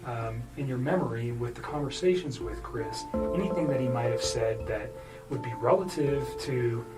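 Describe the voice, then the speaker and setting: A man questioning someone in a phone interview as an investigator, choosing his words in a careful, halting way. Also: mid-range voice. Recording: distant phone recording under loud music.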